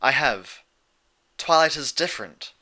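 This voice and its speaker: Man voicing a composed, regal pony princess, bright-toned. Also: on the high side, expressive, pitched above the performer's own voice, heavily acted. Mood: guarded. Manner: measured, formal, reflective phrasing. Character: composed, regal elder pony sun princess, patient and guarded.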